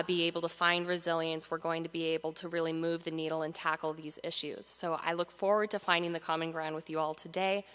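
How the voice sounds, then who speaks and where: A woman speaks to a live audience in a large hall, her voice bright and crisp. She pauses often on "uh" between phrases and keeps an earnest, steady tone. Long reverb trails each phrase. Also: fairly low pitch. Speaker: panel speaker for a regional nonprofit. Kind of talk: prepared talk at a live event.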